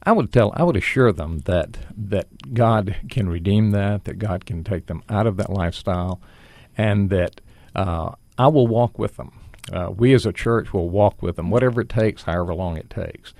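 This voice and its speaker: Deep-voiced earnest man. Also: moderate pace, creaky, a little mellow, no echo. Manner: earnest, reassuring. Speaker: ministry guest.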